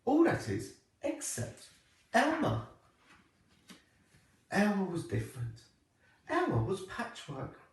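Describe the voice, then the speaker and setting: A man reading a children's storybook aloud like a primary teacher, with expressive, deliberate phrasing. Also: high voice, vocal fry. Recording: echoey room, distant microphone.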